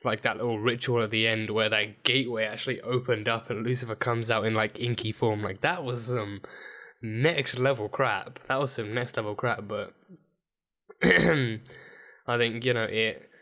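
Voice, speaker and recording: A man with a dry, croaky throat recapping a TV episode in a rambling, casual way, full of fillers and restarts. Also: mid-range voice, fairly even intonation, normal speed, pushed and bright. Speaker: TV episode reviewer. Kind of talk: casual reaction video recap.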